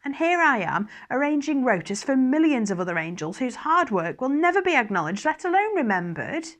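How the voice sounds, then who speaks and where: A woman's voice: sits high, sing-song, brisk, voice pushed up. Woman reading as a fussy, exasperated archangel administrator. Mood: aggrieved. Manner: wry, formal, exasperated, fussy. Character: fretful, dutiful archangel administrator keeping a diary, faintly superior. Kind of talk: first-person dramatised diary reading.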